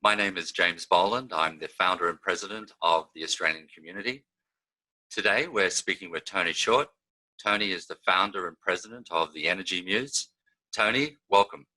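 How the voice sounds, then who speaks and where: A man's voice: low-pitched, moderate pace, bright, forceful tone, poor audio. A man hosting an interview, asking formal questions and opening each one with the guest's name. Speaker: interview host.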